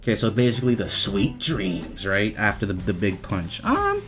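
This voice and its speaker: A man giving casual, off-the-cuff commentary as he reviews game voice lines, talking in a halting, repetitive, slangy way with some swearing. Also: on the low side, huge pitch swings.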